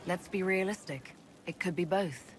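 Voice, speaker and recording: An actress playing a resolute female leader in a video game, speaking in a measured, direct way. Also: on the low side, background noise, close to an everyday voice.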